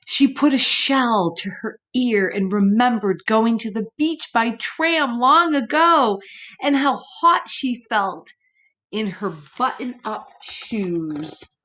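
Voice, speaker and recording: Woman storyteller for little kids, unhurried and highly animated, gently expressive, speaking straight to the children. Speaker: children's story reader, teacher. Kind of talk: read-aloud storytime for young children, with chatty asides. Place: room at home.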